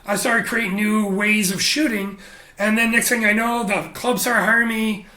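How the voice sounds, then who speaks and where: Bright, high-voiced middle-aged man, animated. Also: reverberant. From Canada. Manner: animated, laughing often, quick asides. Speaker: guest. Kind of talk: casual storytelling interview.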